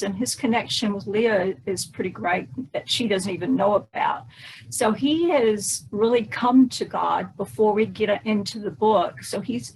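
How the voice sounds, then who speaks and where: A woman's voice: medium pitch, a little hiss or hum, rough recording. A woman, an author guesting on a podcast, explaining her work in a conversational way. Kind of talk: conversational interview answers.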